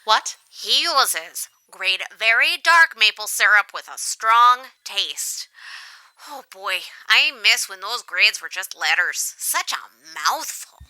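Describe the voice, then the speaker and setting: Woman as a piercingly bright, folksy gossip. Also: medium pitch, very animated, takes it slowly, dry recording, heavily acted, low-quality recording. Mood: gossipy. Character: chatty, folksy, scandalised Canadian defector woman. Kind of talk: audio comedy drama acting.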